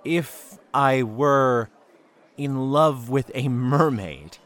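A man's voice: mid-range voice, drawn-out words, fairly bright tone. A man playing an awkward, skeptical young boyfriend in an audio drama, speaking in a hesitant, dry, blunt way. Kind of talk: naturalistic audio drama acting. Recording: noticeable background ambience noise.

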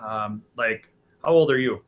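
A man's voice: lowish voice, a little slow. A man in his forties, a hunting guide, answering interview questions casually with frequent restarts and fillers. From Alaska. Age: 40s. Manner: hesitant, many restarts and fillers. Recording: dull lo-fi sound.

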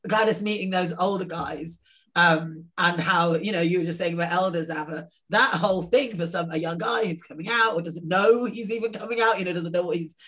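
A woman's voice: on the low side, a little fast, a bit bright. A woman co-hosting a casual video-call chat, thinking aloud in a reflective way. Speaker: co-host.

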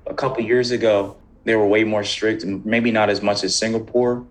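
A young American man is the guest on a casual podcast. His voice sits fairly low, and he answers questions in a conversational, informal way. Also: flat delivery, normal speed. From United States, New Jersey. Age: young adult. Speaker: podcast guest, university student.

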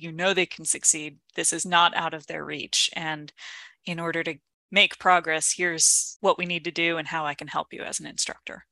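A woman's voice: fairly low pitch, sharp tone, no room sound. A woman co-hosting an educational podcast, discussing teaching in a supportive, reflective and articulate conversational way.

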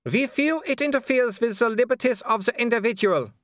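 A man's voice: extremely high pitch, brisk, fairly bright tone, dry recording, clean studio sound, voice pushed up. A man voicing a haughty, falsely sweet aristocratic baroness in a storybook reading, with prim, icily polite speech.

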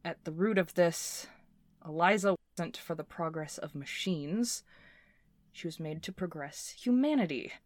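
A woman playing a scrappy, intense inventor recording a personal log in an audio drama, talking in a halting, volatile way. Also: on the low side, no echo, lightly acted. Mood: determined. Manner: swearing, halting, swinging from muttering to fervent.

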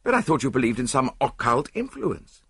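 A man voicing an earnest, excitable gentleman, a loyal detective's companion, in an audiobook. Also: mid-range voice, a little slow, creaky, breathy edge, no room sound, clean studio sound, voice pushed up, heavily acted. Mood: stupefied.

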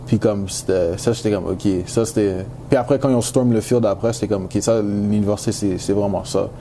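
A young Quebec athlete with a fairly low, mellow voice talks casually as an interview guest. His first language is English, and he slips English slang and phrases into his French. There is some noise behind him. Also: fairly even intonation, fairly quick. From Montreal, Quebec, Canada. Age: young adult. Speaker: university football player, interview guest.

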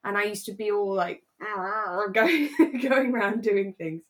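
A woman co-hosting a relaxed, chatty podcast, telling stories in a playful, jokey and animated way. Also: mid-range voice, slow pace. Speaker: podcast co-host. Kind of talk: relaxed casual chat about a radio drama.

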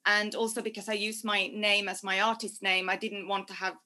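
A young woman chatting as a podcast guest, warm and talkative, laughing easily. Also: medium pitch, level tone, fairly quick. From Norway. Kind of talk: casual interview chat.

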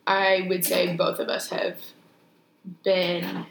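A young woman co-hosting a casual podcast, talking thoughtfully and measured, often pausing to rephrase. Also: on the low side, monotone, gravelly. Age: young adult. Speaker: podcast co-host. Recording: echoey room with faint noise.

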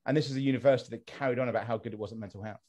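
Animated English man ranting casually. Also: medium pitch, racing. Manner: animated and critical, many restarts. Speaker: podcast co-host. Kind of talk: casual podcast discussion.

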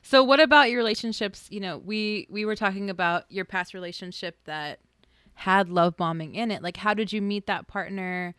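A woman's voice: mid-range voice, moderate pace, a bit bright, no room sound, studio quality. A woman hosting a podcast, talking in a chatty, casual way with fillers. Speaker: podcast host.

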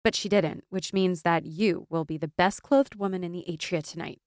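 A woman's voice: lowish voice, fairly even intonation, normal speed, no room sound, studio quality, close to an everyday voice. A woman voicing a loyal, stubborn young woman in a fantasy audiobook, insistent and impassioned. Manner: insistent, impassioned, gaining momentum. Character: fierce, stubborn, loyal young woman, pleading best friend.

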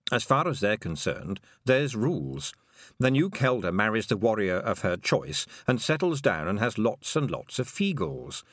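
A man voicing a grumpy, self-important talking toad with a deadpan manner in an audiobook. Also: fairly low pitch, moderate pace, no echo, studio quality. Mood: dry, deadpan. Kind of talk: audiobook character acting.

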